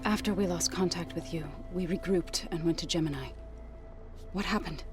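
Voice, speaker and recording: A woman voicing a calm, steady, loyal comrade in a video game, with music behind her. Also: lowish voice, moderate pace, close to an everyday voice. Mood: somber concern. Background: background music.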